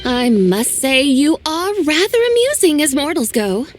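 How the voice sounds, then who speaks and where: A woman's voice: high voice, big pitch swings, unhurried, faint music behind. An aloof, self-styled divine girl. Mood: lofty. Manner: lofty, aloof, self-assured. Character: young woman posing as a divine spirit, haughty and mysterious. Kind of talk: video game voice acting.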